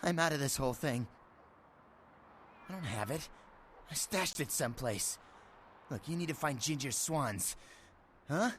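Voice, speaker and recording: A frightened, sleazy informant in a horror game. His pitch swings about as he stammers and curses, jumpy and paranoid, with faint noise behind him. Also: lively intonation, measured pace, long pauses, a bit bright. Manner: stammering, jittery, profane. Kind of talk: dramatic video game voice acting.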